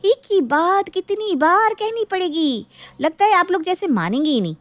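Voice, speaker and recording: A woman's voice: extremely high pitch, animated, normal speed, no echo, voice pushed up. A woman voicing a greedy, haughty grown daughter in a moral story, scolding and threatening imperiously. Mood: angry, threatening. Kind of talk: dramatic moral-story acting.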